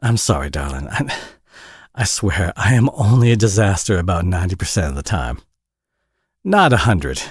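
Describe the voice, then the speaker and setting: Man playing a low-voiced, folksy Southern single dad. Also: very animated, normal speed, husky, no echo, clean studio sound, heavily acted. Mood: flustered, apologetic. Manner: folksy, rambling, stammering and self-deprecating. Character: tired, flustered, friendly Southern single dad. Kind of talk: intimate one-sided audio roleplay.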